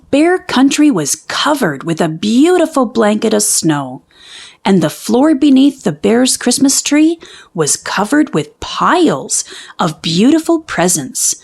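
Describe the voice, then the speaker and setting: A woman reading a children's storybook aloud as an expressive narrator. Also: sits high, slow pace, gravelly, breathy edge.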